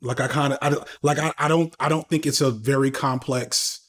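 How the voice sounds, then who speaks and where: A man's voice: mid-range voice, steady tone, brisk, fairly bright tone. A man in his thirties, a podcast guest talking casually and candidly, with slang, swearing and frequent restarts. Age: 30s. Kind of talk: casual conversational interview.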